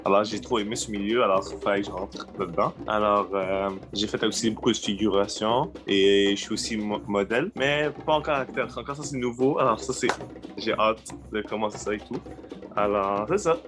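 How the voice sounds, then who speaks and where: Eager young man, lowish voice. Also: fairly quick, over music. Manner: eager, repetitive phrasing. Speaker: model and extra auditioning. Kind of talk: self-introduction at a casting session.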